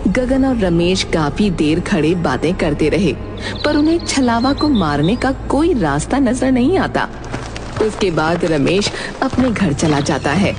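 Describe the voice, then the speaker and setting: A woman's voice: lowish voice, sing-song, unhurried, music in the background. A woman giving scripted narration of a horror story.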